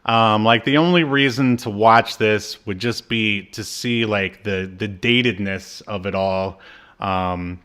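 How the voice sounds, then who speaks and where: A man's voice: on the low side, takes it slowly, fairly bright tone, clean tone. A man co-hosting a podcast, giving an informal, joking review in casual conversation. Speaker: podcast co-host.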